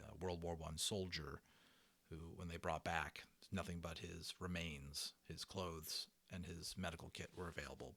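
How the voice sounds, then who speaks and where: A man narrating a children's audiobook as an amateur voice actor, expressive and dramatic, in a storytelling way. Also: deep voice, vocal fry.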